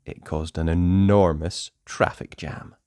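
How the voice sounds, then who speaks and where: A man narrating a children's storybook aloud in a playful way, with dramatic pauses and emphasis. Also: deep voice, fairly even intonation, warm tone, no room sound, clean studio sound.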